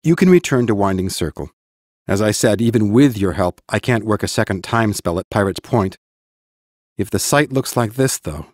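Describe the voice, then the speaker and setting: An actor playing a stern but kindly mage teacher in an audiobook, with a measured, instructive, dryly practical manner. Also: male voice, on the low side, animated, a little mellow, no room sound, clean studio sound. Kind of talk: full-cast audiobook dialogue.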